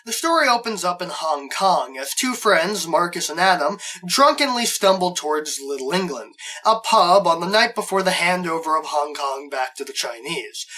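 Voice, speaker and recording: A man's voice: high-pitched, sharp tone, rough recording. A man hosting a scripted review, narrating with a fan's enthusiasm and wry asides. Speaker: host, reviewer.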